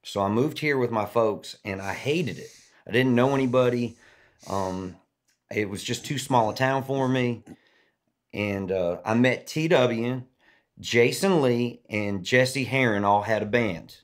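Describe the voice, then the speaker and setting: A man in his forties from Massachusetts, a singer-songwriter guest on a podcast, telling stories in an easygoing, rambling way that trails off softly. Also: medium pitch, a little slow. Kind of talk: casual storytelling chat.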